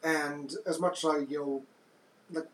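A man with a deep voice co-hosting a podcast in casual chat, soft-spoken and hesitant, often restarting his sentences. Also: steady tone, a little slow, roomy sound, slight background noise, poor audio. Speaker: podcast co-host.

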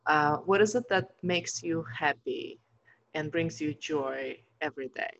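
A woman's voice: deep voice, unhurried, vocal fry, dull audio. A woman hosting an interview show over a video call, affirming her guest with frequent mm-hmms and laughs. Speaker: podcast host.

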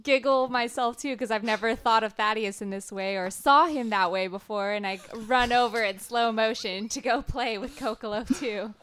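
A woman playing a tabletop game with a smooth, clear voice pitched somewhat high. She talks in a playful, easygoing way. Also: moderate pace, few pauses, fairly bright tone. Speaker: player. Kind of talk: casual tabletop play.

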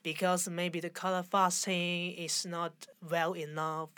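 A woman in her forties from Hong Kong, speaking with a Hong Kong accent and halting, searching English as an interview guest. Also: lowish voice, little pitch movement, fairly bright tone, clear, resonant tone, no room sound. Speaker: fashion designer, guest. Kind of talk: conversational interview.

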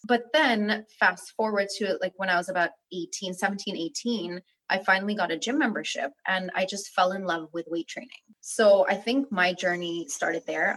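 A young woman who grew up partly in Albania speaks as an interview guest. She tells her story frankly and with animation, in a relaxed conversational way. Her voice sits at a middling pitch. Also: poor audio. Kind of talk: casual interview talk.

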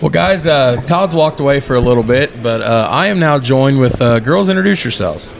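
A man's voice: mid-range voice, lively intonation, normal speed, creaky. A man in his thirties co-hosting a podcast, chatting in a friendly, rambling way and praising his guests at a busy convention hall. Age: 30s. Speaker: podcast co-host. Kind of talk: casual interview chat. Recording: crowded event hall. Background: crowd chatter.

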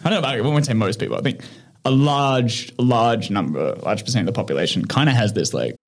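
Brief: male interview guest, mid-pitched, thoughtful, searching for words, laughs easily. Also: lively intonation, quick pace, soft background music. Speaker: guest, founder of an online chess training platform. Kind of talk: casual conversational interview.

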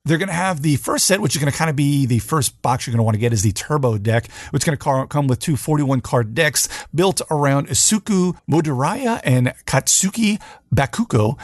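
Homem de voz seca, apresentador de podcast. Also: male voice, fairly high pitch, dry recording, studio quality. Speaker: podcast host. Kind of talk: casual board game news rundown.

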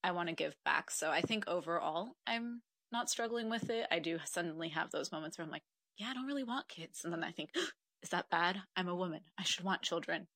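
Woman guest, twenties, from Mexico; low-ish, bright and dry, reflective, earnest. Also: expressive, fairly quick, no room sound. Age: 20s. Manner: reflective, earnest, with frequent ums. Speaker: podcast guest. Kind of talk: casual interview conversation.

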